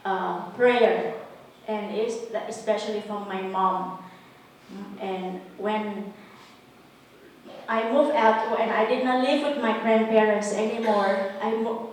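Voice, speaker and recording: A woman with a Vietnamese accent speaks slowly and haltingly, restarting phrases often as she gives a personal testimony. Her voice sits a little high. She sounds distant from the mic in a very echoey hall. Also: slight background noise. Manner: halting, frequent restarts and fillers. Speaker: religious sister.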